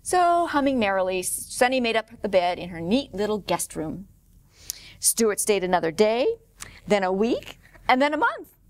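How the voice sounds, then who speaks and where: A middle-aged woman storyteller reading a picture book aloud to children in an expressive way. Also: medium pitch, normal speed, dry recording. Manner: expressive, clearly paced for children. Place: indoor room, standing before a painted wall.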